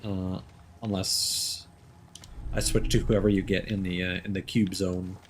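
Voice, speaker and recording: Man, game streamer, casual commentary, thinking aloud, lowish voice. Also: flat delivery, measured pace, a little hiss or hum. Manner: chatty, thinks aloud, with fillers and small laughs. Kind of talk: casual live gameplay commentary.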